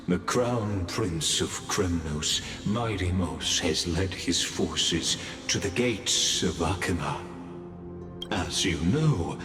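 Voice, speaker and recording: A man playing a grandiose, theatrical narrator of an epic drama, speaking in a solemn and stately manner. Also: deep voice. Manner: solemn, stately, measured delivery. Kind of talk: scripted dramatic storytelling. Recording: echoey reverberant hall with loud music.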